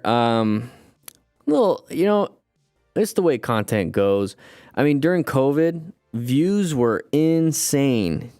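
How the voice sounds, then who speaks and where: Smooth-voiced man in his thirties. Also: mid-range voice, no room sound, studio quality. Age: 30s. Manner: rambling, relaxed, talks to chat as "guys". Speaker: livestream host. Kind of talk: casual livestream chat, reading viewer comments.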